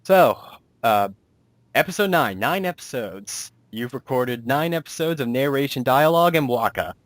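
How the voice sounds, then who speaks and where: A man's voice: medium pitch, animated, normal speed, dry recording. Man chatting as interviewer, dry close sound. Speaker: interviewer and director of an amateur audio drama. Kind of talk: casual interview chat.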